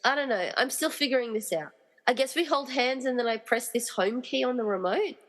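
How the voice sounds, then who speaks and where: A woman's voice: on the high side, lively intonation, brisk, fairly bright tone. Woman playing an exasperated heroine, big echo. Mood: frustrated. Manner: sarcastic, grumbling. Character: reluctant, exasperated heroine rescuing friends trapped in movies. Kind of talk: amateur comedy radio play acting. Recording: reverberant hall-like echo.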